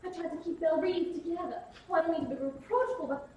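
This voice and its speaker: An actress playing a fragile, faded belle, theatrical and close to hysteria in a 1950s film drama. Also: female voice, very high voice, quick pace, darkish tone, a little hiss or hum. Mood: anguished. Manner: theatrical, breathy, swings to near hysteria. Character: fragile, faded Southern belle, highly strung. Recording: echoey, distant old film soundtrack.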